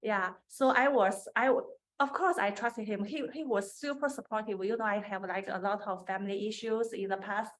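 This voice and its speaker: A woman's voice: medium pitch, steady tone, normal speed. A woman with a Chinese accent, speaking Chinese natively, giving earnest career advice on a panel and laughing often. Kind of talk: panel discussion, personal advice. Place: conference room.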